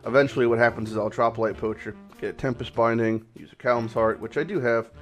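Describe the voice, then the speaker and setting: A man with a raspy voice, hoarse from a cold, hosting a casual game commentary vlog in a rambling, off-the-cuff way with some swearing. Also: mid-range voice, steady tone, fairly quick, soft background music. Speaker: gaming channel host.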